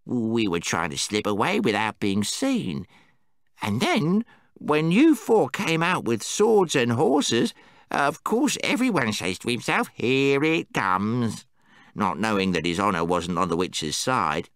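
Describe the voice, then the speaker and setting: A man voicing a timid, excitable male gnome who squeaks when frightened. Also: slow pace, dry recording, clean studio sound, heavily acted. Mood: earnest. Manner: fretful, squeaks when scared. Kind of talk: audiobook character voice.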